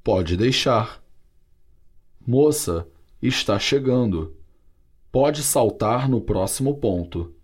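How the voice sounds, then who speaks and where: A man with a Brazilian Portuguese accent reading vocabulary and dialogues for a language course, clear and carefully articulated. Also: mid-range voice, lively intonation, takes it slowly, long pauses. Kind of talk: slow scripted language-lesson reading.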